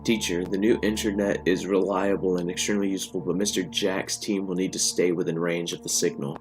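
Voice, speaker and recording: A man's voice: sits low, fairly even intonation, fairly quick, over music, lightly acted. A man voicing a polite, literal-minded machine assistant in a story, formal and deferential. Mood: matter-of-fact. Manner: formal, deferential, matter-of-fact.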